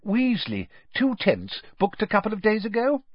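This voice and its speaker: A jittery middle-aged wizard father, pitched well up, stumbling over his words with eager nervousness, as a male reader puts him on. Husky tone and dry, slightly dull lo-fi sound. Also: wildly swooping pitch, normal speed, dry recording, heavily acted. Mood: flustered. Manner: flustered, hesitant, stammering.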